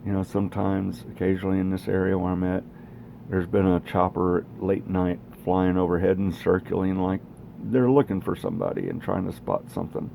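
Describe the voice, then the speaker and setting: A man with a very low, creaky voice gives a casual equipment demo. He talks in a plainspoken, rambling way. There is faint noise behind him. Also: moderate pace, dark tone. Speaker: radio hobbyist presenting own equipment. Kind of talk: casual equipment demonstration vlog. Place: home garage.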